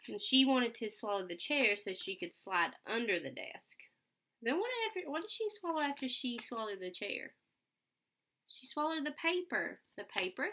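Bright-voiced woman reading to children, unhurried. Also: medium pitch. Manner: simple explanations, repeated prompting questions. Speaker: teacher reading a picture book aloud to children. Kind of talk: children's read-aloud with simple questions to listeners. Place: small furnished room at home, indoors. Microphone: computer webcam at about arm's length.

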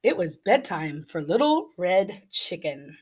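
A woman's voice: lowish voice, very animated, slow, a little hiss or hum. A middle-aged woman reading a picture book aloud expressively for children, in a small office. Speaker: elementary school staff member reading aloud. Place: small indoor office with bookshelves.

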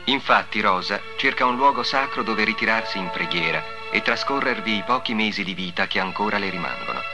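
A man narrating in a formal, declamatory 1950s devotional documentary style, with solemn delivery. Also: on the low side. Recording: old 1950s soundtrack, music behind.

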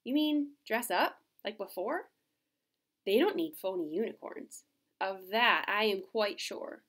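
A woman reading aloud, voicing a gentle, shy female unicorn from a picture book in an earnest, hesitant way. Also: medium pitch, expressive, measured pace. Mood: doubtful.

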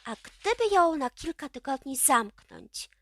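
A woman voicing vengeful witches of a coven, loud, shrill and insistent, in a children's storybook. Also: very animated, unhurried, dry recording, clean studio sound, heavily acted. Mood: vicious. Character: clamoring, vengeful coven witches demanding punishment.

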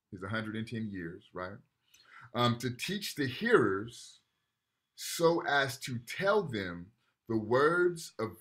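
A man's voice: mid-range voice, fairly bright tone. A man teaching a university class over a video call, talking casually and often checking in with "right?" while reading texts aloud. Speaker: lecturer.

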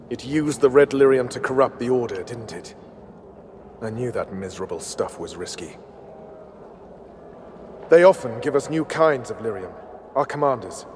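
An actor playing a stern, earnest templar knight in a video game, grave and commanding. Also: male voice, mid-range voice, a little mellow, a little hiss or hum, close to an everyday voice.

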